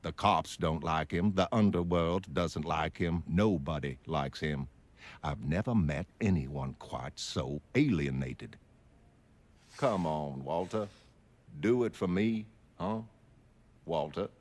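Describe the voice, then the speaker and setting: A man playing an earnest, self-assured psychoanalyst in a motion comic, speaking in a measured, clinical way. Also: rumbling low, full character voice. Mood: gently coaxing. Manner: measured, clinical, gently coaxing with patient. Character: earnest, self-assured male psychoanalyst dictating case notes. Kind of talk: scripted dramatic narration of a motion comic.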